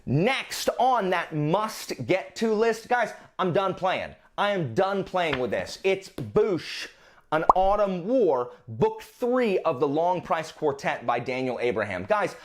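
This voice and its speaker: A man's voice: high voice, sing-song, slow pace. A man hosting a vlog, talking casually and informally about his reading list with playfully exasperated asides. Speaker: book vlogger, channel host.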